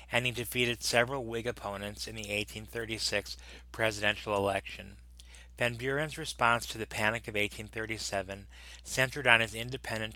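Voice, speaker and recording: A man reading an encyclopedia article aloud in a steady, plain narration that sometimes stumbles and corrects itself. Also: fairly low pitch, no echo, rough recording. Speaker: volunteer reader of an encyclopedia article.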